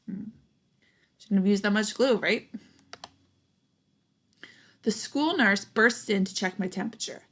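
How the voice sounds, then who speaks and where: A young adult woman reading a children's picture book aloud expressively, pausing to put questions and comments to young listeners. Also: mid-range voice, a bit bright. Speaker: storybook reader, teacher.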